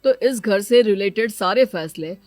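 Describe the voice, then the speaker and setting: A woman's voice: medium pitch, moderate pace, a little mellow, no echo, pitched below the performer's own voice, natural voice. Woman playing a stern, domineering father. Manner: lecturing, authoritative. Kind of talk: cartoon moral-story acting.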